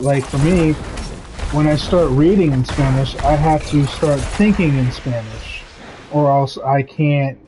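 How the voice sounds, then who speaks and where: A man's voice: fairly high pitch, noisy background. A man chatting candidly while streaming a video game, rambling through personal stories with laughs and the odd swear. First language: English. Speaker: gaming streamer. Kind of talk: casual chat while gaming. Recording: game sounds behind the voice.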